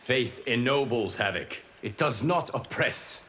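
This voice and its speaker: Casting: male actor as a dignified guardian of faith for a fighting game, measured, reproving formality, mid-range voice. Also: mid-range voice, expressive, close to an everyday voice. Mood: grave, reproving. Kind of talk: video game character acting. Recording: faint background noise.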